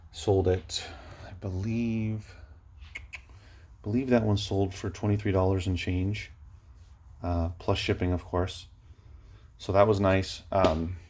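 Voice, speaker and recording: A man talking casually as an online reseller showing his sales, in a rambling, low-key, matter-of-fact way. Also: a little mellow. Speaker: online book and media reseller sharing recent sales. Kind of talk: casual vlog talk, showing items. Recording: noticeable background noise, clicks.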